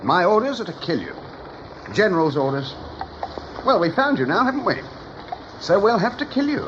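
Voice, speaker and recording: A man's voice: sits high, very animated, normal speed. A man playing a scornful, menacing rabbit patrol captain in a radio drama. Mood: scornful, threatening. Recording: dull, noisy 1980s radio recording.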